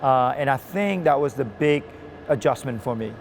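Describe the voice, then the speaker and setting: A man's voice: medium pitch, animated, moderate pace, vocal fry, a little hiss or hum. A man from Bali who coaches college swimming, chatting in a rambling way with many fillers and restarts. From Indonesia (Bali). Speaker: swim coach. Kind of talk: casual interview.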